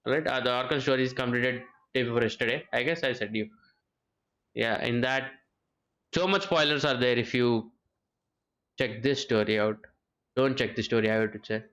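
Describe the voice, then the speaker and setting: A man's voice: mid-range voice, normal speed, pauses often, fairly bright tone. A man livestreaming a game, chatting informally with viewers and repeating his phrases as he reacts. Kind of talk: casual livestream chat and reactions.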